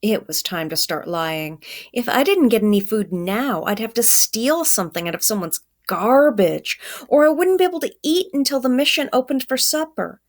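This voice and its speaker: A woman's voice: fairly high pitch, expressive, normal speed, pitched above the performer's own voice. Woman reading as a scrappy, hungry boy. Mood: resigned. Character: hungry, scrappy homeless boy narrating his story. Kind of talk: children's storybook read-aloud.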